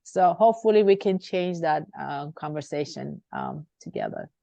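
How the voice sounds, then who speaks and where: Middle-aged woman, low voice, gravelly, mellow tone, dry dull audio, rambling guest. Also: expressive, moderate pace, no echo. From Armenia. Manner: rambling, many fillers and restarts. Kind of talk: conversational interview.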